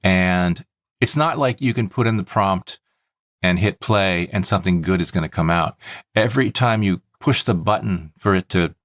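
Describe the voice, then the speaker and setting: Low-voiced man explaining on a podcast. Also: normal speed, fairly bright tone, dry recording, studio quality. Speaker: podcast host. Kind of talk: casual explanatory podcast talk.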